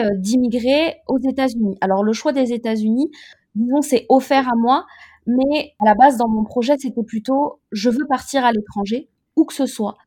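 A woman in her thirties, originally from Côte d'Ivoire, answers interview questions about her own life. Her voice sits high and clear, and she speaks in a thoughtful, measured way, pausing often to find her words. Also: warm tone. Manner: reflective, measured, with frequent hesitations. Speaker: interview guest. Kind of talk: personal testimony in an interview.

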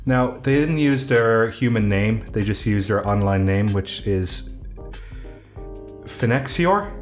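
A man with a deep voice co-hosting a casual podcast, reading listener emails with dry, deadpan jokes. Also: takes it slowly, soft background music. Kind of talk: casual chat.

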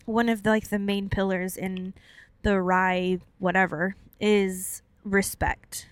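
A woman's voice: medium pitch, level tone, takes it slowly, clean tone, no echo. Chatty young woman, lots of "like". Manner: chatty, long-winded, laughs often, many "like" fillers. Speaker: podcast co-host. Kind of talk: casual chat.

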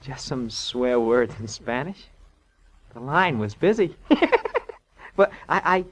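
Jittery male barfly snitch, 1940s radio play; slow, stammering, wheedling, wildly varied pitch. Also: expressive. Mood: eager, giggly. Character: scrawny, wheedling barfly drunkard, jittery informer.

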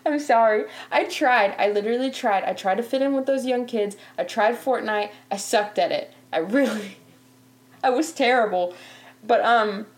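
Chatty young woman who laughs often. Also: a little high. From Canada. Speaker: podcast host. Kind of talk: casual chat.

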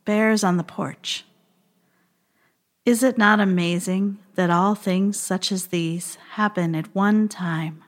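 A woman reciting a poem with measured, deliberate phrasing. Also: fairly low pitch. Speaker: poet or reader reciting a poem. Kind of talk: slow poetry reading.

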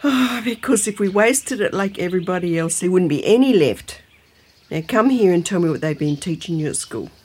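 A woman playing a brisk, dry-humoured older Australian grandmother in a naturalistic audio drama. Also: on the low side, expressive, a little mellow, slight background noise, close to an everyday voice. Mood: bossy.

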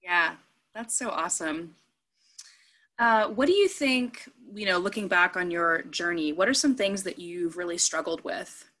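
A woman's voice: on the low side, measured pace, fairly bright tone. A woman in her thirties hosting a casual interview, chatty and enthusiastic, piling up examples as she talks. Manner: chatty and enthusiastic, trails off into lists. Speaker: interviewer, fellow actor.

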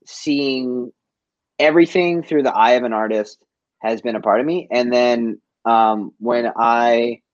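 A man's voice: medium pitch, fairly even intonation, measured pace, no room sound, highs cut off. A man from Boston in a casual interview, telling stories as a guest in informal, slangy speech peppered with "like". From East Boston, USA. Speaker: interview guest, chef and business owner. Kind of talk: casual conversational storytelling.

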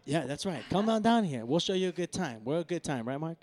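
A man's voice: fairly high pitch, very animated, fast talker, darkish tone. A young man hosting a podcast, talking in loose, slangy, profane banter. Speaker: podcast host.

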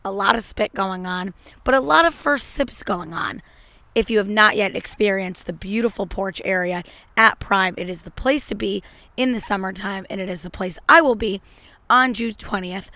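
A slightly hoarse woman talks straight into a podcast mic, riffing and cracking jokes in a brash, playful ramble. Her voice sits at an ordinary middle pitch, and the sound is dry and close with no room around it. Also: moderate pace, pushed and bright, no echo. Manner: brash, joking, tongue-in-cheek rambling. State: a little hoarse. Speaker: podcast host. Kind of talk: casual solo podcast monologue. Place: at home on a couch. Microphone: podcast microphone.

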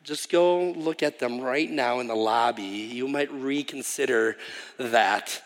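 A man's voice: mid-range voice, takes it slowly. A man from Wisconsin in his thirties, a missionary guest preacher giving a chatty, self-deprecating sermon introduction with frequent ums and restarts. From Wisconsin, USA. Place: church sanctuary. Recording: live church hall reverb.

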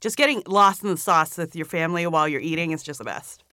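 Woman, young adult, from Hong Kong, relaxed chatty guest, studio, dry close sound. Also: sits low, quick pace, no room sound. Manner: relaxed, chatty, many "like" fillers. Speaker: podcast guest. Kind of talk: casual interview chat. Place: recording studio.

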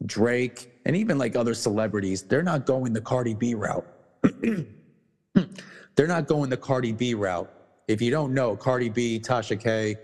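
A man co-hosting a casual podcast, talking bluntly with many restarts and swearing, his voice going hoarse. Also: mid-range voice, in a large hall. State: losing his voice. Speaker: podcast co-host.

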